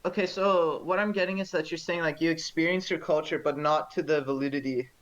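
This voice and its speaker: A teenage boy co-hosting a podcast, chatting casually, asking questions and pausing to search for words. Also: a little high, highs cut off. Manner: asks questions, lively and thoughtful, searches for words. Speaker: podcast co-host. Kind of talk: casual chat.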